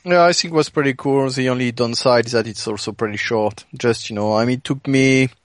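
A man with a medium-pitched voice chats as a podcast co-host. He is hesitant, often padding his sentences with "you know", and the sound is dry with no room echo. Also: brisk. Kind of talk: casual chat.

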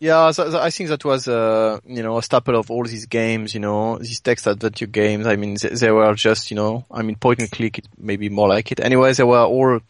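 A man chatting informally on a games podcast, halting and circling back as he searches for words, with loose, sweary phrasing. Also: on the low side, steady tone, no echo. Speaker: podcast co-host. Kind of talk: casual podcast chat about video games.

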